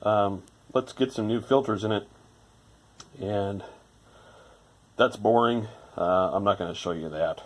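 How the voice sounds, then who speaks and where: A man's voice: lowish voice, a little slow, pauses often. A man giving informal hands-on repair narration, rambling a little as he thinks aloud. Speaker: electronics repair hobbyist presenting a restoration.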